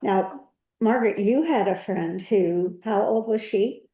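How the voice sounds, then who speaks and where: A woman on a town health board giving informal remarks at a public meeting, talking haltingly with many fillers. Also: mid-range voice, dull audio. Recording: echoey meeting room.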